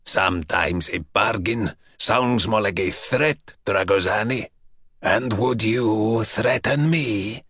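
A man voicing an ancient buried male vampire who speaks in slow, mocking, archaic phrases. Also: fairly low pitch, a bit bright, husky, no room sound, studio quality, exaggerated voice. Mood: menacing. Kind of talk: audiobook character voice.

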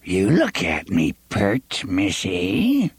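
A man's voice: low-pitched, huge pitch swings, drawn-out words, breathy edge, no room sound, exaggerated voice. A man voicing a spiteful, wheedling old witch in a storybook, taunting in archaic rustic phrases. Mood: petulant.

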